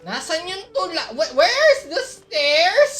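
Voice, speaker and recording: Playful, animated Filipino woman streaming. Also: very high-pitched, slow pace, soft background music. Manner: playful, expressive reactions. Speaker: game streamer. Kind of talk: casual live game commentary, switching between languages.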